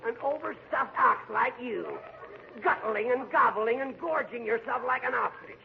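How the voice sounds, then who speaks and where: An actor playing a fussy, dyspeptic, peevish train passenger in a 1940s radio comedy. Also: male voice, very high-pitched, lively intonation, normal speed, gravelly, heavily acted. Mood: outraged. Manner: peevish, snappish, querulous. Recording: muffled, hissy 1940s radio broadcast.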